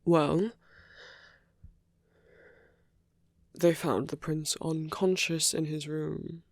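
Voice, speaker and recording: A performer playing a suave male villain in a romantic ASMR roleplay, speaking in hushed, deliberate phrases with pauses. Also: mid-range voice, steady tone, dry recording, studio quality. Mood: calm, reassuring. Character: suave male villain urging a captured hero to flee.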